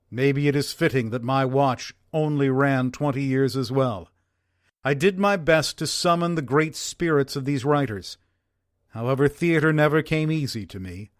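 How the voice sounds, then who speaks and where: A man playing an earnest, wistful former theater owner from early-1900s Wisconsin, reading a letter in a formal, measured way. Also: fairly high pitch, no echo, clean studio sound, close to an everyday voice.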